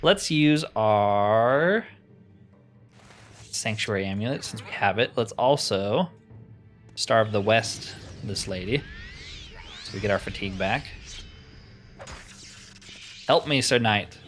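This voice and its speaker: A man's voice: on the low side, lively intonation, takes it slowly, long pauses, faint music behind. Casual man narrating his gameplay. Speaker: gaming video host. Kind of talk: casual game playthrough commentary.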